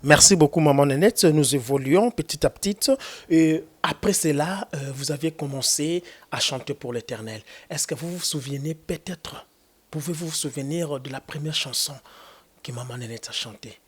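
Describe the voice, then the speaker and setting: A Congolese man hosting an interview. He asks long, polite, winding questions, pausing on fillers as he goes. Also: fairly high pitch, slightly rough. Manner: courteous, rambling questions with many hesitations. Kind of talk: formal interview.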